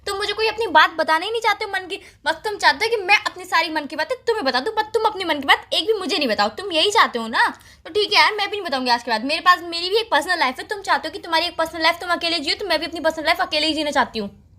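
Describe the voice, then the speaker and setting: Woman playing young girlfriend, shrill high pitch, sharp tone, plaintive, accusing, quarrelsome. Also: racing. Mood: hurt, reproachful. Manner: nagging, plaintive, rattling off complaints. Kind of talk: heated relationship argument, recorded-call skit.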